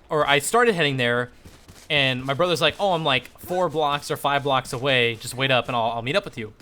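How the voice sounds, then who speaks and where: Bright-voiced young man telling stream stories. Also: medium pitch. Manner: animated, slangy, swearing freely. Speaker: live streamer. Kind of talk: casual storytelling while gaming on a livestream.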